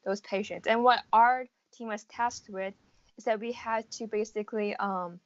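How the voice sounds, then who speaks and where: A dry, slightly muffled lo-fi recording of a young American woman. She speaks at a middle pitch, answering interview questions casually. Her phrasing is informal and loosely hedged, like a student explaining her experiences. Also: steady tone, normal speed, dry recording. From United States. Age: around 18 to 19.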